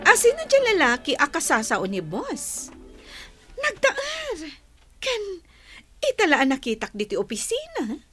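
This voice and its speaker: A high-pitched, vain office woman. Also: huge pitch swings, a little slow, fairly bright tone, faint music behind, heavily acted. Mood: gossipy, smitten. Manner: gossipy, haughty. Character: vain, possessive young female office worker. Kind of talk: radio drama acting.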